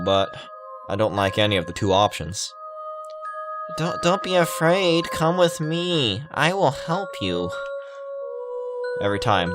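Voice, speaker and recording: A man with a fairly low voice hosts a game playthrough. He reads the story aloud and breaks into casual, rambling, halting commentary, with music clearly playing underneath. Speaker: gaming video host. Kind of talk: visual novel read-aloud with casual commentary.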